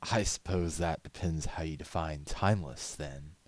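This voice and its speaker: A man voicing a male pony glassblower, a devoted craftsman, in a measured, earnest, self-assured way for a storybook reading. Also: very deep voice, no echo. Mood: quietly amused.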